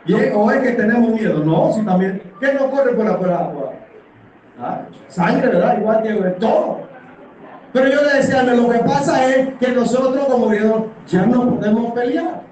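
A man's voice: extremely high pitch, animated, normal speed, darkish tone. A middle-aged man with a Panamanian accent preaching an impassioned, conversational sermon full of rhetorical tag questions. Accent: Panamanian Spanish. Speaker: church elder preaching. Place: small church hall full of congregants, indoors. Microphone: distant from camera, echoing through the room. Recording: echoey hall from far off, background noise.

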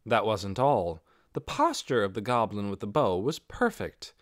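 A man's voice: lowish voice, animated, unhurried, dry recording, clean studio sound. A man reading a fantasy novel as a scripted audiobook narration.

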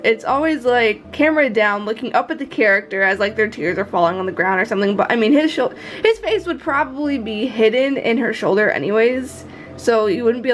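Higher-voiced young American woman, candid vlogger. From Florida, United States. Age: young adult. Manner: candid, informal, swearing. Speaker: vlogger and visual novel developer. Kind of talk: casual vlog chat about own project. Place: small indoor home room.

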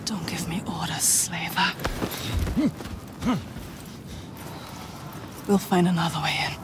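An actress playing a fierce, haunted warrior woman in a dark fantasy game, giving terse, forceful commands. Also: on the low side, fairly even intonation, a little fast, noisy background, lightly acted. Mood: defiant.

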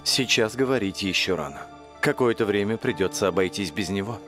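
A woman's voice: a bass voice, fairly quick, soft background music. A woman voicing an earnest, confident young boy who leads a team in a cartoon dub. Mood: reassuring. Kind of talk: animated series dub.

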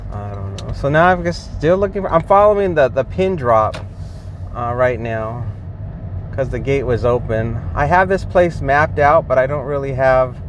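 A man giving casual running commentary while driving his car on delivery, thinking out loud with fillers. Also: medium pitch, highs cut off. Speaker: delivery driver vlogger. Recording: clear road and car noise. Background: car and street noise.

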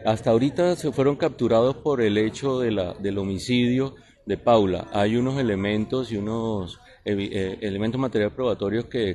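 A Colombian man, a police colonel, answering reporters in a formal, halting way outdoors into handheld microphones. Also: on the low side, a little mellow. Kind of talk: press interview answers. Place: outdoors at night outside a building.

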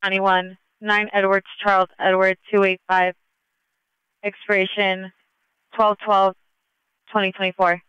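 A man's voice: sits high, monotone, a little slow, pauses often, pushed and bright, smooth, no room sound. A man speaking in clipped police radio phrases over a dull, band-limited radio channel.

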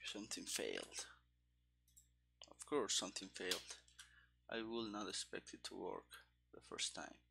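A man in his thirties thinking aloud as he codes live, halting and muttering in fragments into a headset mic. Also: deep voice, very animated, gravelly, pushed and bright, a little hiss or hum. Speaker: game developer streaming his coding. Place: small room indoors.

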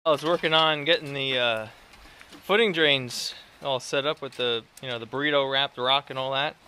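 Bright-voiced man filming his build outdoors. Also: mid-range voice, normal speed, a little hiss or hum. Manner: rambling, self-deprecating, chatty with fillers. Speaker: home builder vlogger. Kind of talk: casual self-filmed build vlog. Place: outdoor construction site in woods. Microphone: phone held at arm's length.

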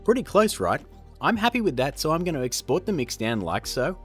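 A man in his thirties hosts a software tutorial. He is chatty and self-deprecating, with hugely varied intonation over background music. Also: on the high side, big pitch swings, a little fast, slightly rough. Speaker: host of tutorial videos.

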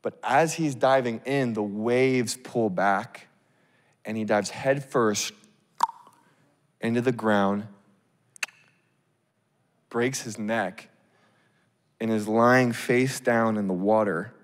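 A man in his thirties preaching on a church stage into a handheld mic, telling a story in a casual, animated way. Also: on the low side, slow, pauses often. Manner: animated, acts out scenes, casual fillers. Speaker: pastor. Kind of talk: sermon storytelling. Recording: long reverb of a big hall.

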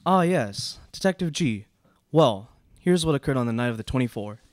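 A man's voice: medium pitch, expressive, moderate pace, slight background noise, lightly acted. A young man playing a prim, pompous, easily frightened aristocrat in a student radio play, speaking formally. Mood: formal, dramatic. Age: young adult. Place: small indoor studio. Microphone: close stand microphone.

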